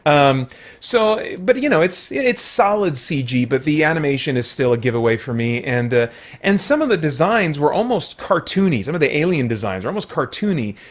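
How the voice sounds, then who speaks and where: A man's voice: a little high, very animated, normal speed. A man giving a casual, unscripted movie review in a conversational way, often searching for words.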